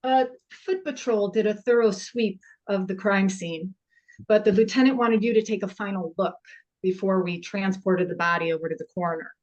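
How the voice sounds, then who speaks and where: A woman's voice: mid-range voice, moderate pace, muffled recording, lightly acted. A woman playing a middle-aged forensic investigator at a film table read, matter-of-fact and wry, with a hesitant conversational delivery. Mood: businesslike, awkward. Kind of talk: actor's table read of an indie horror film.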